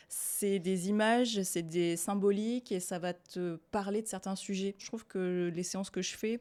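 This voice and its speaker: A young adult woman chatting casually as an interview guest, relaxed and talkative, often trailing off with fillers and laughing easily. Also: mid-range voice. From Italian descent, raised in France. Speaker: guest, tarot reader and illustrator.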